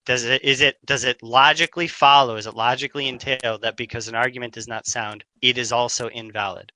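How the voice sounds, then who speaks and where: A man's voice: mid-range voice, fairly even intonation, a little fast, bright, forceful tone, no room sound, low-quality recording. A man in an argumentative online debate, insistently pressing his point and putting questions over a voice call. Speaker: debate participant.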